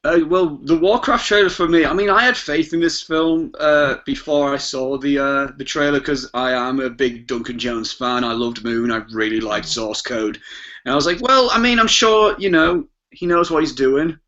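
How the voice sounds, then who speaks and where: British male panelist, fairly high voice, casual opinionated chat, lo-fi muffled recording. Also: normal speed, sharp tone. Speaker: podcast guest panelist. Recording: dull lo-fi podcast audio.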